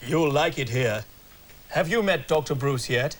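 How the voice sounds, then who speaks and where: Slow, bright-voiced hospital pal. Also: male voice, mid-range voice, animated. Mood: chummy. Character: chummy, earnest hospital colleague. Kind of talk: comedy sketch acting.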